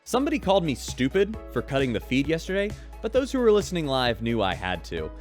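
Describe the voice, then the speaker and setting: A man's voice: mid-range voice, huge pitch swings, quick pace, soft background music. A man as a chatty, upbeat radio show host. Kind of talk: scripted radio drama host.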